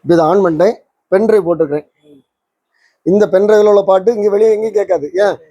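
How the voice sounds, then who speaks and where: A man's voice: high voice, fast talker, mellow, dry recording, lo-fi sound. A man hosting a repair channel, explaining things casually and often checking with tag questions. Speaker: host of a vintage radio repair channel.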